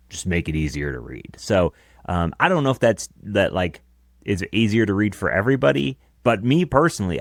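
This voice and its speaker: Deep-voiced man, very low and dry. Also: no room sound. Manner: chatty, explaining his gear with casual asides. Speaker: podcast co-host. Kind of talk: casual chat. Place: small home studio, indoors. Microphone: close dynamic broadcast mic.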